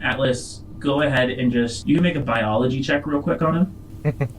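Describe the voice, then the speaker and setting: A man running a tabletop roleplaying game, telling the story to the players in a halting, deliberate way. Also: medium pitch, a little hiss or hum, poor audio. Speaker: game master.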